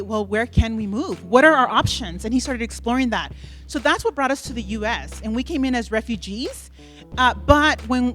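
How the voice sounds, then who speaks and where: A woman from El Salvador speaks from a conference stage with a high, light voice. She tells her story in an earnest, reflective way. Faint music hums behind her. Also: a bit bright. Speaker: keynote speaker. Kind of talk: personal keynote talk.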